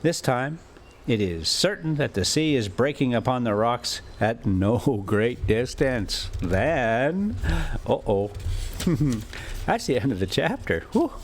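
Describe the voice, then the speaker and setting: A man reading a classic adventure novel aloud as an amateur storyteller, with dramatic, deliberate phrasing and pauses. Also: medium pitch, big pitch swings, slight background noise. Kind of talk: expressive amateur audiobook narration with casual asides.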